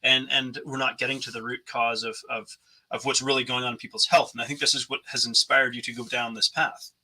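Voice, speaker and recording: Bright, husky-voiced male podcast host. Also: mid-range voice, little pitch movement, brisk, poor audio. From North America. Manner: thoughtful, many restarts and fillers. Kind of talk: conversational interview.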